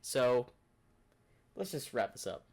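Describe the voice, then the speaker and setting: A man gives casual reaction commentary in a medium-pitched, lively voice. He thinks aloud as he goes, rambling and working through his ideas. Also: takes it slowly. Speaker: reaction video host.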